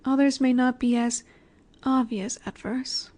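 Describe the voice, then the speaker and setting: A woman playing a dominant, nurturing snake-woman monster in an intimate roleplay audio, speaking in a gentle, coaxing way that often sinks toward a whisper. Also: sits high, steady tone, unhurried. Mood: gently firm.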